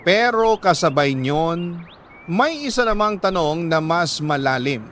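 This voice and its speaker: A man reading a dramatic scripted story in a solemn, measured way, pausing for emphasis. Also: fairly high pitch, expressive, fairly bright tone, a little hiss or hum. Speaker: narrator reading a listener's letter.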